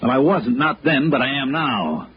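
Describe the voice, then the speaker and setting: A man's voice: medium pitch, big pitch swings, moderate pace, a little hiss or hum, natural voice. A man acting as a tough, sardonic American investigator in a 1960s radio noir, clipped and wisecracking. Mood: accusing. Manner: clipped, wisecracking, hard-boiled. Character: tough, sardonic male freelance insurance investigator. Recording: dull 1960s radio recording with music.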